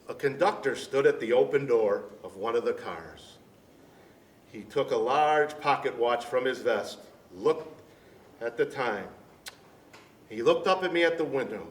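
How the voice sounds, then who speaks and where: A man reading a storybook to children in deliberate, separated phrases. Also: medium pitch. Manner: deliberate phrasing with many pauses. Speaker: storybook reader. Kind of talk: scripted storybook narration for children. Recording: echoey room, distant mic, background noise.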